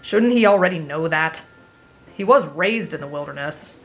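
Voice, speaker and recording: A woman playing an excitable, anxious, whiny teenage boy in an anime fan drama, dramatic and often sarcastic. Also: deep voice, normal speed, soft background music, poor audio. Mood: incredulous.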